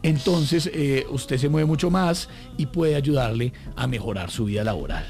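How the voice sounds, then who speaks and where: A man's voice: mid-range voice, moderate pace, a little mellow, faint music behind. A male radio host who teases guests and explains the topic in casual studio banter. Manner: teasing, prompting questions.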